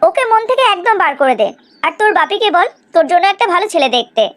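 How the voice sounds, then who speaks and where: Woman acting brash, high-voiced young cartoon heroine. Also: very animated, fast talker, fairly bright tone, full character voice. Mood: disdainful, protective. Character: blunt, disdainful young woman, fiercely loyal friend. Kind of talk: Bengali cartoon drama acting.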